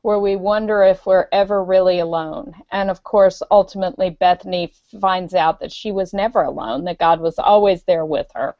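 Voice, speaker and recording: A woman's voice: lowish voice, moderate pace, few pauses, no echo, highs cut off. A woman author talking casually on a podcast over an online call, explaining earnestly with fillers. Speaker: guest author. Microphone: video call.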